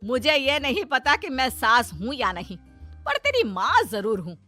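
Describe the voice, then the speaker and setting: Woman playing a bossy, bright-voiced mother-in-law. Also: sits high, sing-song, unhurried, faint music behind, exaggerated voice. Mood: firm, indignant. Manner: scolding, emphatic, motherly. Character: bossy yet soft-hearted middle-aged Indian mother-in-law.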